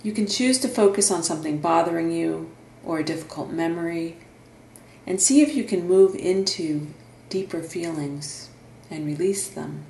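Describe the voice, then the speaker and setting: A woman guiding a meditation in a soothing, gentle instructional manner, leaving long pauses between phrases. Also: fairly low pitch, creaky. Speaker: meditation guide and therapist. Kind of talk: guided meditation narration. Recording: roomy echo, faint background noise.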